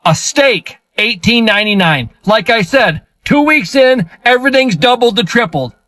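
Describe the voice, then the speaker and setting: Really high voiced guy, insistent rant with rhetorical questions, super dry close sound. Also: expressive, moderate pace, sharp tone, no room sound. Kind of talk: direct-to-camera rant.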